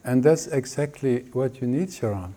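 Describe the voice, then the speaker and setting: Calm man teaching in short phrases. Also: mid-range voice. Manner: gentle, unhurried, speaking in short phrases for an interpreter. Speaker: spiritual teacher. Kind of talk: slow spoken teaching.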